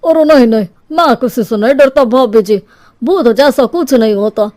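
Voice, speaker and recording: Woman doing a young neighbor dude in a spooky story, skeptical, pushy, arguing, high voice. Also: expressive, moderate pace, a little mellow, no echo, natural voice. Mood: defiant.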